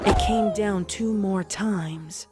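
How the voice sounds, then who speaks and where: A woman's voice: medium pitch, takes it slowly. A woman reading scripted story narration as the narrator of a dramatic tale. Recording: clear music behind the voice.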